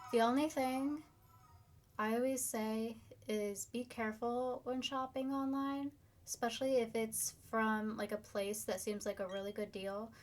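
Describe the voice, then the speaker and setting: A young woman, a bit hoarse, chatting casually with her viewers on a live stream. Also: a little high. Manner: chatty, upbeat, reads viewer comments. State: slightly hoarse, losing her voice.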